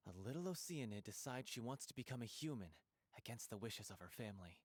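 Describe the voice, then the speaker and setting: A man playing an excitable, theatrical playwright in a video game, with music behind him. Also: mid-range voice, fast talker, dry recording, close to an everyday voice.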